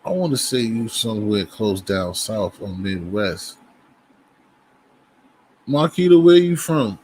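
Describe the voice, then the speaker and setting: A man's voice: fairly low pitch, lively intonation, takes it slowly, darkish tone, breathy edge, rough recording. A man from Boston hosting his own livestream, chatting loosely with viewers and greeting them as they come in. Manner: loose, rambling talk to viewers, frequent restarts. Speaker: livestream host. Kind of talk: casual livestream chat.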